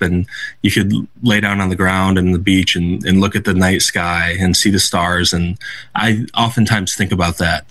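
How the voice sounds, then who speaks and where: A man's voice: fairly low pitch, a bit bright. A young American man, a guest athlete, answering interview questions in an earnest, reflective way, often restarting his sentences. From United States. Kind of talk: radio interview.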